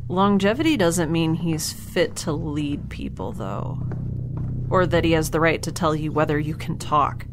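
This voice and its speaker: A woman's voice: low voice, animated, unhurried, background noise. A woman playing a blunt, naive young scientist with a sarcastic, matter-of-fact manner in a naturalistic audio drama. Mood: curious, blunt.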